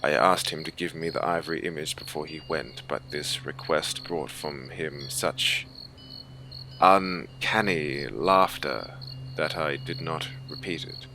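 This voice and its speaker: A man as a very slow, deep-voiced haughty officer. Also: pushed and bright, full character voice. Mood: grave, detached. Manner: formal, measured, grave. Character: cold, haughty German naval officer recounting a horror. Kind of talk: scripted audiobook horror narration. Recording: clear ambient noise bed behind voice. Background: ambient soundscape.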